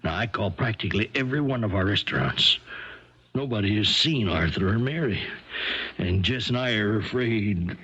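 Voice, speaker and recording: A man's voice: fairly low pitch, expressive, moderate pace, sharp tone, slightly rough, heavily acted. An actor playing a worried but reasonable middle-aged father, explaining things hesitantly in a 1970s radio drama. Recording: dull lo-fi 1970s radio recording.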